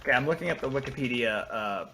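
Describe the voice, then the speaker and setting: A man co-hosting a casual podcast, trading animated, teasing banter. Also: medium pitch, quick pace, vocal fry, a little hiss or hum. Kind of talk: casual chat.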